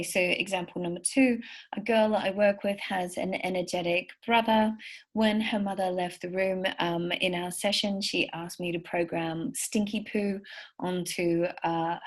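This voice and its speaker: Encouraging woman giving a lecture. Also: fairly low pitch. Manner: warm, encouraging, anecdotal. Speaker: speech therapist presenter. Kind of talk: recorded slide lecture for parents.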